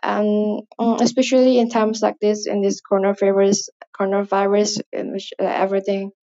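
A woman with an Indonesian accent speaks English as a second language, wandering through her thoughts with plenty of fillers and restarts. Her voice sits at an ordinary middle pitch. The recording sounds dull and lo-fi, like a phone voice memo. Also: little pitch movement, normal speed, warm tone. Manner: rambling, hesitant, many fillers. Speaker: listener leaving a voice message. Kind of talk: casual spoken voice message.

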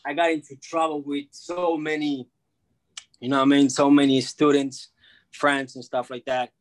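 A man's voice: mid-range voice, level tone, moderate pace. A man chatting casually as a guest, telling personal stories in slangy, repetitive street talk. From born in Peru, grew up in the United States from age two. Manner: slangy, peppers talk with bro, man and you know what I mean. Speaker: guest, freelance sports media journalist.

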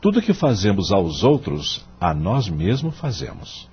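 An actor playing a wise, kindly male law professor in a radio drama, with a Brazilian accent and a husky voice, measured and moralizing. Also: low voice, very animated, dull audio, full character voice. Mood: kindly, reassuring. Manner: didactic, measured, moralizing, rhetorical questions.

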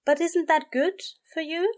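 A woman voicing a gentle, earnest male angel bookseller in an audio story, soothing, polite and a little prim. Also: very high voice, fairly even intonation, unhurried, pitched above the performer's own voice. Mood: sympathetic.

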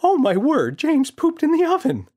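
A shrill, fussy storybook mother, forever scandalized by anything unpleasant, as a male narrator puts her on. Her voice shoots up high and loud, prim and disgusted. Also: huge pitch swings, normal speed. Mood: disgusted, shocked. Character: prissy, disgusted housewife mother.

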